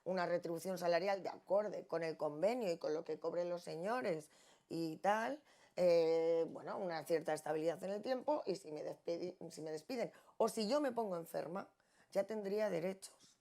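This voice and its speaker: A woman's voice: lowish voice, normal speed, dark tone. A middle-aged woman with an accent from Spain, speaking off the cuff on a panel in an emphatic, ironic manner.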